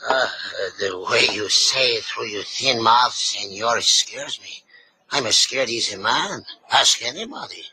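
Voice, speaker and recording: Sly raspy Latin small-time crook. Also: male voice, mid-range voice, expressive, unhurried, pushed and bright, exaggerated voice, poor audio. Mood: nervous, cagey. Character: wary, wisecracking small-time Latin crook with elaborate speech. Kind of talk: 1950s radio drama acting. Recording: raspy-edged old radio recording.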